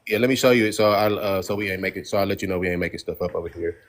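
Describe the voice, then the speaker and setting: A man's voice: deep voice, racing. Blunt, slangy man hosting a livestream debate. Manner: blunt, profane, lively street slang. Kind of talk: heated casual debate on a livestream.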